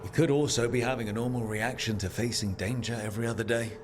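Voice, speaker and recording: An actor playing a wry, reassuring young adventurer leader in a video game, casual and plain-spoken. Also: male voice, medium pitch, steady tone, fast talker, close to an everyday voice. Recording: music behind the voice.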